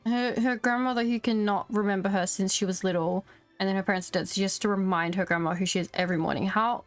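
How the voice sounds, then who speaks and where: A woman's voice: medium pitch, steady tone, fast talker. A woman game streamer in a casual livestream, reading dialogue and commenting in an informal, joking, slangy way. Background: faint game music.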